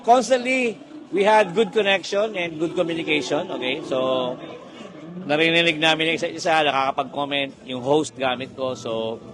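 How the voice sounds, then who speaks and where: A man's voice: medium pitch, poor audio. A man with a Filipino accent giving a casual testimonial, explaining things emphatically in mixed, conversational phrasing. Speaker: motorsport team member leading a group testimonial. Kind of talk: casual product testimonial, Taglish.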